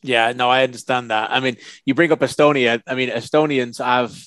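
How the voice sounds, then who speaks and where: A man's voice: mid-range voice, steady tone, fairly quick, fairly bright tone, no echo. A middle-aged man with an English accent, interviewing a footballer over a video call. He sounds friendly and encouraging, and often trips over repeated words. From England. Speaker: interviewer, host. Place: home study with bookshelves. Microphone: headset mic. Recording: dry, close headset sound.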